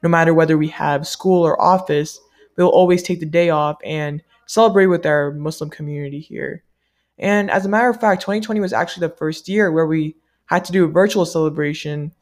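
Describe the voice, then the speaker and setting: A woman from the US, a native Malayalam speaker, asking interview questions in a polite, measured, rehearsed way. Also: deep voice, a little mellow. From United States. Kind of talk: scripted-sounding interview questions.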